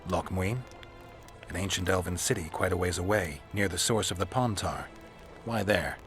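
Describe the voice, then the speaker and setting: An actor playing a stoic male monster hunter in a video game, terse and deadpan with dry, laconic delivery. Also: low-pitched, moderate pace. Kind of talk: video game dialogue acting. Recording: music behind the voice.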